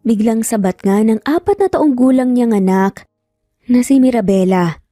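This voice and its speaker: Higher-pitched Filipino woman storyteller. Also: animated, moderate pace, warm tone, no room sound, clean studio sound, soft background music. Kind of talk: scripted dramatic narration.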